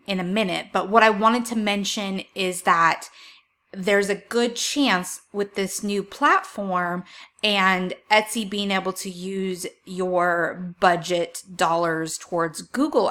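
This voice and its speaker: Bright-voiced woman explaining tips to viewers. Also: fairly low pitch. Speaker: online seller giving marketing tips. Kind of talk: informal explainer video, advice to viewers.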